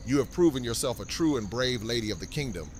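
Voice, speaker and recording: A man's voice: medium pitch, lively intonation, fast talker, a little hiss or hum, natural voice. A man playing a noble, theatrical king who speaks formally and graciously in an audio drama. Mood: solemn gratitude. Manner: grand, formal speech. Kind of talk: fan audio drama acting.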